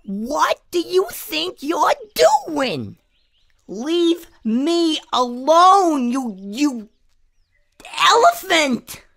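A man voicing a small grumpy male weasel, blunt and pesky, with a high, sharp, snappish, bossy voice. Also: wildly swooping pitch, takes it slowly, full character voice. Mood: angry.

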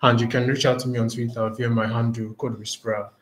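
Male trainer, monotone, roomy call audio. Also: medium pitch, fast. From Nigeria. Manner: soft, hesitant, trailing off. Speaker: course trainer. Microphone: video call. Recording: echoey room on a call.

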